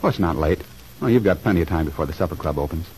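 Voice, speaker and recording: A man's voice: low voice, expressive, rapid-fire, darkish tone. A man playing an eager, modest young dreamer in an old-time radio soap, bashful and hesitant, on a faintly noisy old recording. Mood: wistful hope.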